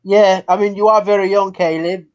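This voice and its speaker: A man of thirty with a high voice talks casually in a group chat online. He tends to repeat himself for emphasis, and the sound is dull and lo-fi. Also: level tone, normal speed, a little mellow. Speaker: participant in an online religious debate. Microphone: online voice call. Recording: dull, lo-fi online call audio.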